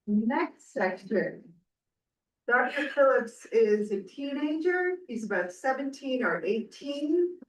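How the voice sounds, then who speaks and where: Very slow-speaking woman in an echoey room. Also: sits high, lively intonation. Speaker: presenter introducing historical context. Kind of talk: historical narration to an audience. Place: live event in a reverberant room. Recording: echoey room, far from the mic.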